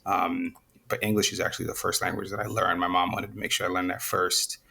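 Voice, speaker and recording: Deep, flat-voiced man with gravelly fry. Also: brisk. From Zambia. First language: Nyanja. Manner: relaxed, rambling with many restarts. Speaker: interview guest. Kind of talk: casual interview talk.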